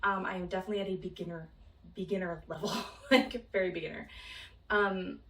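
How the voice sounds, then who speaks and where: A woman's voice: lowish voice, steady tone, a little fast, a bit bright, distant mic, slight background noise. A young woman from Minnesota chats about her own life in an easygoing vlog. She rambles, fills gaps with ums and laughs lightly now and then. Her voice sits back from the mic, with a roomy ring around it. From Minnesota, United States. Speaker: vlogger. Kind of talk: casual chat.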